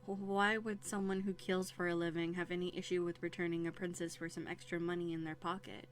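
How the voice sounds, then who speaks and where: A woman's voice: on the low side, level tone, brisk, lightly acted. A woman playing a haughty, wary young runaway princess in a scripted audio roleplay, speaking in prim, formal phrases with dramatic hesitations. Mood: skeptical, challenging. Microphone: close USB studio microphone.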